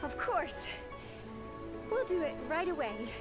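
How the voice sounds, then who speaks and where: A woman voicing an eager, overworked young office clerk with a bright, quick voice. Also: high-pitched, very animated, exaggerated voice. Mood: relieved. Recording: game audio over music.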